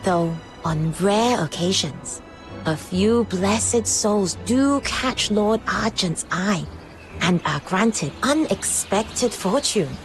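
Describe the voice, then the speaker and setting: Calm wise lore-keeper, mid-pitched, slow and gently instructive, game dialogue over faint music. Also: female voice. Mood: serene, instructive. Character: calm, wise female guide explaining sacred lore. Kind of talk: video game character acting.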